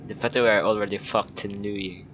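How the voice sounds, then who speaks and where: A man's voice: lowish voice, steady tone, brisk, fairly bright tone, a little hiss or hum. Crude, joking young-sounding gamer man. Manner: joking, crude, offhand remarks. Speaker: gamer commenting on a playthrough. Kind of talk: casual game commentary.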